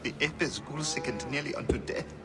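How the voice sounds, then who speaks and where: An actor playing a despairing Egyptian high priest in a video game, halting and lamenting as he pleads. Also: lightly acted. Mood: grim despair. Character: anguished male Egyptian high priest, despairing and fearful for his wife. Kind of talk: dramatic video game voice acting. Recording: long reverb under loud music.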